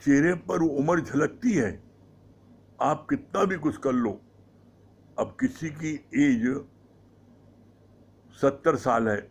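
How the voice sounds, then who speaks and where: A man giving a devotional discourse on scripture. He explains slowly and keeps asking rhetorical questions, with English words mixed into his Hindi. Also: fairly high pitch. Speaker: satsang speaker.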